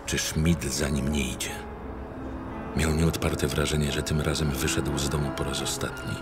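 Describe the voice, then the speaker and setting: A man performing as the grave narrator of a dark mystery audio drama, reading scripted literary prose. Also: very deep voice, little pitch movement, normal speed. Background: loud music.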